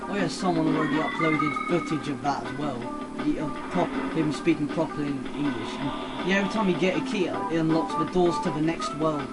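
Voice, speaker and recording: A man's voice: on the high side, brisk, few pauses, poor audio. A man hosting a let's play, giving casual, rambling gameplay commentary that explains things as he goes. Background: video game music.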